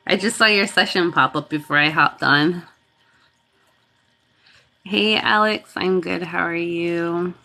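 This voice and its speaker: A woman is hosting a live healing stream while getting over a cold, her voice congested and sniffly. She talks slowly and sits a little low in pitch, greeting viewers one by one and laughing now and then. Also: steady tone, sharp tone. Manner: chatty, thanks viewers by name, laughs often. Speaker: reiki healer hosting a livestream.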